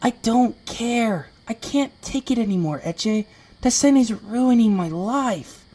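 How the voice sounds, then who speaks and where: A woman's voice: fairly high pitch, expressive, takes it slowly, dark tone, exaggerated voice. A woman playing a sincere, stubborn little male creature with wounded feelings in an amateur audio drama. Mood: hurt, resolute. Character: earnest, wounded one-eyed small male flying creature.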